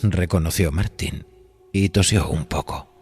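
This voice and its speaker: A man's voice: sits low, unhurried, darkish tone, breathy edge. A man from reading scripted horror story narration. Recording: music behind the voice.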